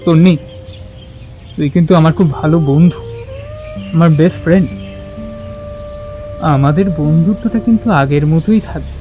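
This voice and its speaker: An actor playing a gentle, earnest young man who speaks carefully in an audio drama. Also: a little high, normal speed, long pauses, lightly acted. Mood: apologetic. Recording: music under the voice.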